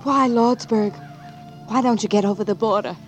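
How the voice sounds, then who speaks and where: A woman's voice: mid-range voice, moderate pace, close to an everyday voice. A woman playing a guarded, world-weary frontier woman, plain and earnest, in a 1940s radio drama. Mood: urgent. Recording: vintage radio broadcast, music behind.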